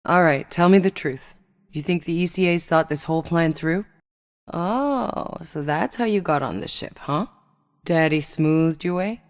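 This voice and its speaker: Casting: tough female sci-fi game mercenary. Low-pitched, sardonic and blunt, recorded close and dry. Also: measured pace, no room sound. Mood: teasing, casual. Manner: wry, blunt, colloquial. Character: tough, sardonic woman mercenary on an alien colony. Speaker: video game protagonist voice actor. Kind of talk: scripted game dialogue.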